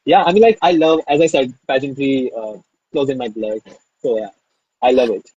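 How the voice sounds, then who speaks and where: A man's voice: mid-range voice, moderate pace, a little mellow, breathy edge, dry recording, lo-fi sound. A man hosting a live online interview, enthusiastic and full of fillers, who keeps calling things amazing. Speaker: interview host.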